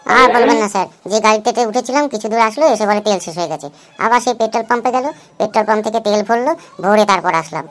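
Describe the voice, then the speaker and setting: A woman's voice: mid-range voice, racing, lightly acted. A woman voicing a cheeky young schoolboy in a comedy cartoon, glib and pleading with excuses. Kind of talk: Bengali comedy cartoon dub.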